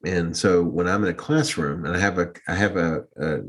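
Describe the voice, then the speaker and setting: A man's voice: deep voice, normal speed. A man with a big voice, a teacher co-hosting a podcast, speaking frankly and assertively with a wry edge. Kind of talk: reflective casual discussion.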